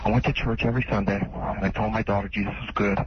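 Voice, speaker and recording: A man's voice: on the low side, level tone, fast talker. Nervous male caller, muffled noisy sound. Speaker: radio call-in caller. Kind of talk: rambling personal story.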